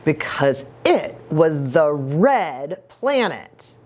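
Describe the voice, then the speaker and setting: A woman's voice: deep voice, very animated, extremely slow, a little mellow, slight background noise. A woman reading a picture book aloud to children in a playful, exaggerated, sing-song way. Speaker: children's ministry pastor reading a storybook.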